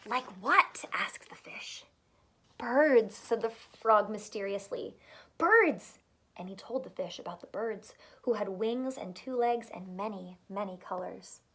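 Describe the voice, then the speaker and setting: A woman teacher reading a storybook aloud to children, expressive, with dramatic pauses and emphasis. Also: mid-range voice, husky.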